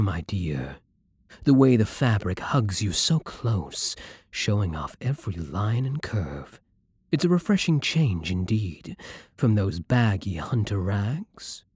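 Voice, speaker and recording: A suave, possessive vampire aristocrat, acted by a man, speaking slowly and seductively in a close, dry ASMR roleplay. His pitch swoops and dips through courtly teasing, with polite menace underneath. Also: darkish tone, breathy edge, dry recording, exaggerated voice. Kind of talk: intimate ASMR roleplay acting.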